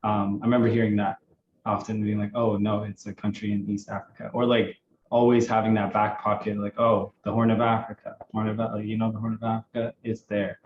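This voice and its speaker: Low flat-voiced man, from Ethiopia, hesitant casual chat, echoey dull recording with steady background noise. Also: fairly quick. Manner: hesitant, filler-heavy, thoughtful. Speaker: podcast guest. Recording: roomy, dull audio with clear background noise.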